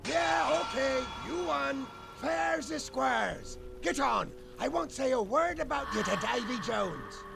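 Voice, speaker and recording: A man voicing a pirate shopkeeper in a video game, with a creaky voice and salty pirate dialect. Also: very high voice, expressive, takes it slowly, hardly any pauses, over loud music, heavily acted. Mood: grudging defeat. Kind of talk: cartoonish game character acting.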